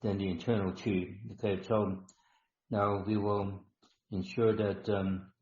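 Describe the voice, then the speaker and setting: A man hosting a live online healing session, talking slowly and instructively, repeating words with many ums. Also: fairly low pitch, little pitch movement, darkish tone, a little hiss or hum. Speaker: webinar host and healer. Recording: dull roomy online call audio.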